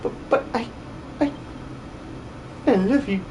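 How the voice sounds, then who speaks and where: A man puts on a young farm girl from a fantasy game dub. She is a devoted, distraught apprentice with a high, pleading, stammering delivery. A steady hiss runs behind the voice. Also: takes it slowly. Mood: grief-stricken.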